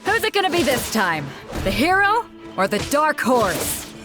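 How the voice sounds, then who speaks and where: A woman's voice: sits high, big pitch swings, measured pace. An actress playing a female tournament announcer who hypes the match in a showy way. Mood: hyped. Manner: showy, hyping the crowd. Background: game music.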